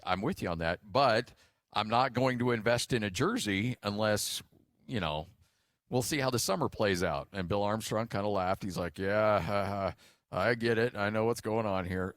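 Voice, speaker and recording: An American man hosting sports radio, bantering casually and telling stories in a jokey, animated way. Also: on the low side, no echo, clean studio sound.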